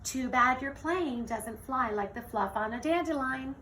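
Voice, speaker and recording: A woman voicing an exuberant, playful young girl in a children's storybook, bouncy, sing-song and excitable. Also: high voice, measured pace. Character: exuberant, playful, whimsical young girl who loves dancing. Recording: distant mic with faint noise.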